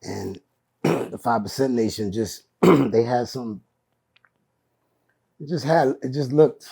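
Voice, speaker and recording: A man's voice: medium pitch, slow, creaky, dark tone, slightly rough. A man, a guest on a podcast, telling his story in a laid-back, slangy, rambling way. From Brooklyn, New York. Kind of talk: casual interview storytelling.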